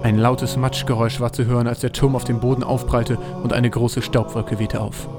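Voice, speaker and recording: A man's voice: medium pitch, level tone, normal speed. A man narrating a scripted fantasy audio drama as its storyteller. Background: music.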